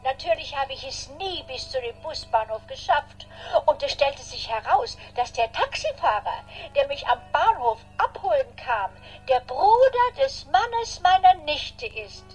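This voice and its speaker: Elderly lady, high voice, slow and deliberate, polite, rambling, radio drama. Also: sing-song, faint music behind, rough recording. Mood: amazed, chatty. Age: older. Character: chatty, polite old lady leaving a message.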